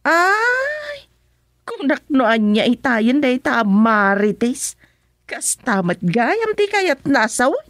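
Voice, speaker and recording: An actress playing a cheeky, gossipy servant woman in a radio comedy-drama, with drawn-out exclamations and an Ilocano accent. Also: high voice, very animated, a bit bright, full character voice. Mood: mock-offended.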